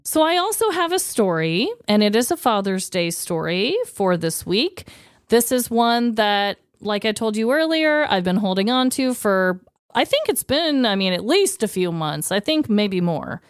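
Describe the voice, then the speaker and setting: A woman's voice: medium pitch, very animated, measured pace, clean tone, dry recording, clean studio sound. A woman co-hosting a podcast, chatting casually and informally about true crime with a comedic slant. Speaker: podcast co-host.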